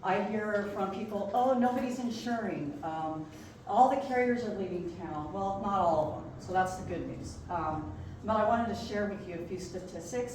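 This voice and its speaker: A middle-aged woman, an insurance agent, giving an informal, chatty presentation to an audience. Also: mid-range voice. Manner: chatty, colloquial. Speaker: insurance agent, guest speaker. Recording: very echoey room, distant mic, noisy.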